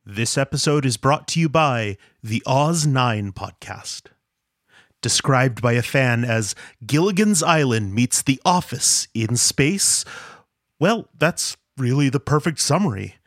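A man's voice: mid-range voice, lively intonation, slow, a bit bright, no room sound, clean studio sound. A man reading scripted sponsor messages and show announcements as a podcast host. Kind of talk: scripted promotional read. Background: music.

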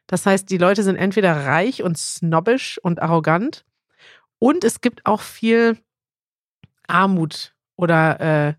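A woman's voice: mid-range voice, no echo, studio quality. A woman, chatting informally as a podcast co-host. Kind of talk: casual conversational podcast chat.

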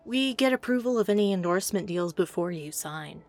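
A woman's voice: medium pitch, expressive, fairly quick, a little mellow, soft background music, lightly acted. Dry, businesslike woman executive played by an actress. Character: businesslike female studio executive, crisp and unyielding. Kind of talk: scripted audio drama acting.

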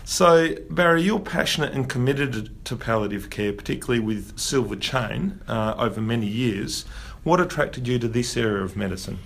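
A man's voice: medium pitch, lively intonation, normal speed, gravelly, hardly any pauses, fairly bright tone. A man hosting a podcast, interviewing a guest in a friendly, encouraging way. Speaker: podcast host, doctor.

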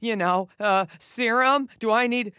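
A woman's voice: on the high side, measured pace, sharp tone, clean tone, no room sound. A woman with a Texan accent, a guest on a studio podcast, chatting in a brash, breezy, playful way. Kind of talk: casual chat.

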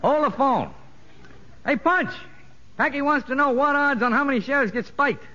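An actor playing a needling, smart-alecky American convict bookmaker in 1950s radio drama, slangy, on a muffled, noisy old broadcast. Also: male voice, very high-pitched, expressive, normal speed, pushed and bright, heavily acted. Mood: wisecracking.

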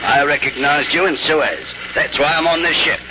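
An actor playing a dogged, suspicious detective in a 1950s radio drama, speaking bluntly in clipped phrases. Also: male voice, fairly high pitch, normal speed, natural voice. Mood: accusing. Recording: muffled, noisy old radio broadcast.

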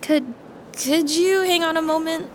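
An actress playing a friendly, meticulous coin appraiser in an audio drama, speaking in a helpful, precise, conversational way. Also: female voice, very high-pitched, unhurried, slight background noise. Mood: surprised, careful. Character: friendly, meticulous woman appraising coins at a show booth.

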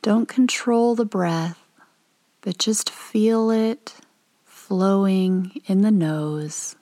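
Slow, mellow woman guiding meditation. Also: medium pitch. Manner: calm, gentle, soothing instruction with pauses. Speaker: meditation guide. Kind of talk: guided meditation.

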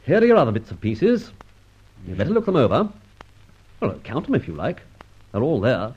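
An actor playing a suave, teasing gentleman adventurer in an old radio serial, pompous and flippant with mock courtesy. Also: mid-range voice, huge pitch swings, moderate pace, breathy edge, exaggerated voice. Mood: smooth, mocking. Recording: dull old radio recording.

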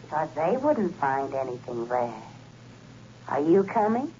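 A woman's voice: sits low, big pitch swings, measured pace, dark tone. Teasing phantom woman on muffled phone. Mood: seductive, taunting. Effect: telephone filter. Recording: muffled, noisy telephone line in old radio.

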